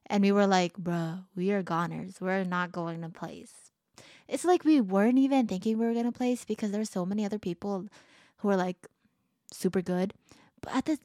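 A teenage girl hosts her own podcast and chats in an upbeat, rambling way, full of "like" and little restarts. Her voice is a touch tired and lower than usual. It is recorded close and dry, with a mid-pitched tone. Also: darkish tone, no room sound. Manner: bubbly, upbeat, lots of "like" fillers. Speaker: podcast host. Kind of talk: casual solo podcast chat.

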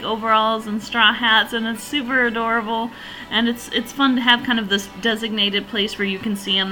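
Chatty woman podcast host, slightly raspy. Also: medium pitch, pushed and bright, poor audio. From northern region. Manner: chatty, enthusiastic, rambling with fillers. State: slightly hoarse from allergies. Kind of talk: casual solo podcast review.

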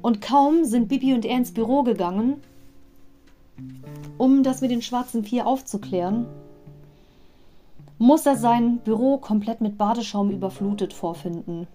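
A woman's voice: on the high side, a little slow, long pauses, warm tone, faint music behind. A woman hosting a podcast, casually retelling a story with chatty, digressive commentary. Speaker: podcast host.

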